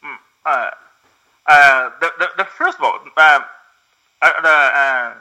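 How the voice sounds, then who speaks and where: Hesitant Brazilian man, bright voice. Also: on the high side, slow, rough recording. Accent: Brazilian Portuguese. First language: Portuguese. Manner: hesitant, many fillers and restarts, searching for words. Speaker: guest, English learner. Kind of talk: casual conversation.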